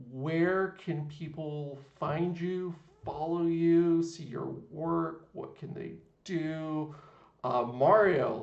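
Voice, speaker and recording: Gravelly, upbeat male podcast host. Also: a little high, muffled recording. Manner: enthusiastic, with frequent uhs. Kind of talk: wrapping up an interview. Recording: echoey room.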